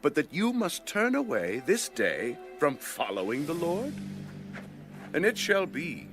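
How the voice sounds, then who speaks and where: A stern priestly envoy. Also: male voice, a little high, wildly swooping pitch, a little slow, fairly bright tone, soft background music, full character voice. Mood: grave, accusing. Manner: grave, accusing, declamatory. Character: stern priestly envoy accusing rebel tribes. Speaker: voice actor in a dramatized audio Bible. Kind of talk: dramatized scripture reading.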